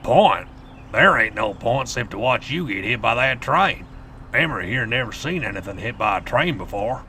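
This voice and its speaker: A man puts on a surly cartoon pig farmer for a comic dub. The voice is creaky and sharp, with lively swings in pitch and a gruff, threatening manner. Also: moderate pace, slight background noise. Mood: scornful. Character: surly, menacing male country pig farmer. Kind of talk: comic dub character acting.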